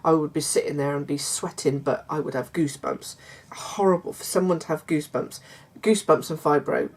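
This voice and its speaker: A middle-aged woman, chatting casually to her viewers in a croaky voice, hesitant with many fillers and restarts. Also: sits low, fairly quick. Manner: warm confiding tone toward viewers. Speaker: vlogger. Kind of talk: casual chat to camera.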